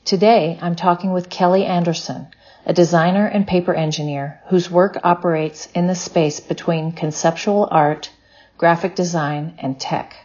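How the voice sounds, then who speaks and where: A woman from Texas hosts an interview podcast in a slightly low voice. She reads her introduction evenly, then talks in a friendly, chatty way and laughs often. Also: darkish tone, dull audio. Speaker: podcast host.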